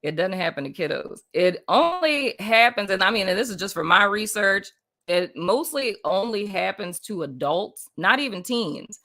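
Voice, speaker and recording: A woman's voice: medium pitch, expressive, moderate pace, bright, forceful tone. A woman from Puerto Rico hosting a casual spiritual live stream, rambling loosely with lots of "like" and "you know what I mean" and frequent laughter. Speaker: livestream host.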